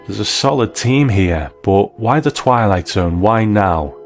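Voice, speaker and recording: A man with a low voice narrates as the host of a documentary-style show. His delivery is measured and explanatory, following a script. Faint music plays behind him. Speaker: host, interviewer.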